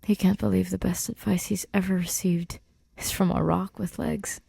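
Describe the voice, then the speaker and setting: A woman narrating scripted fiction in an intimate, subdued, halting way, her breathy voice often near a whisper. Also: mid-range voice, steady tone, dark tone, no room sound. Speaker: podfic reader.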